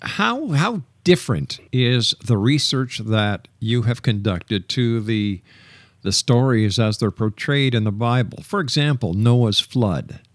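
A man's voice: mid-range voice, animated, takes it slowly, no echo. A man hosting a radio talk show, introducing and interviewing in a polished, announcer-like way. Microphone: close studio microphone.

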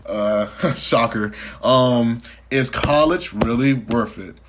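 A man's voice: medium pitch, takes it slowly, a little hiss or hum. A young man hosting a podcast in casual chat, teasing his guests with profane banter and frequent laughter. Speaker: podcast host.

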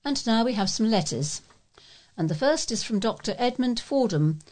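A woman's voice: a little high, animated, normal speed, darkish tone. A woman with a British accent reading local news and letters aloud as a volunteer newsreader.

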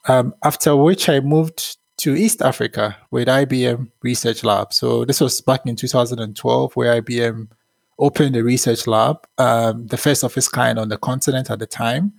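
A man in his thirties from Ghana, a tech founder answering interview questions in a measured, thoughtful way with frequent fillers. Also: medium pitch, steady tone, gravelly, dry recording.

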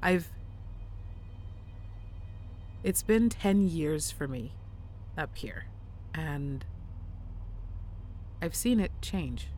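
A woman's voice: sits low, lively intonation, slow, a little mellow, slight background noise. A woman playing a worried, professional lunar engineer in an audio drama, formal but uneasy as she records messages and logs. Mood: shaken, mournful. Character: worried, professional lunar mining lead engineer, long isolated. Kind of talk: audio drama radio calls and log.

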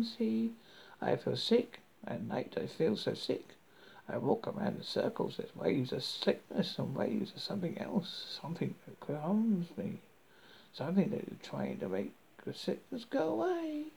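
Husky creaky man reading a story aloud. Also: sits high, mellow, low-quality recording. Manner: halting, stumbling over words. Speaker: horror story reader, host. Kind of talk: dramatic reading of a first-person story.

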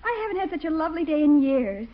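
Artless young ingenue, high voice, dreamy and sweet, muffled old radio comedy. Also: female voice, sing-song, quick pace. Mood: cheerful, polite. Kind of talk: 1940s radio comedy acting. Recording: muffled 1940s radio broadcast.

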